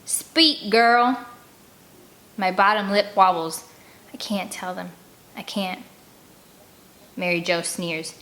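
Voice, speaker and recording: A woman's voice: lowish voice, steady tone, takes it slowly, pauses often, fairly bright tone. A woman reading a first-person story aloud expressively, as an audiobook narrator. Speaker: audiobook reader.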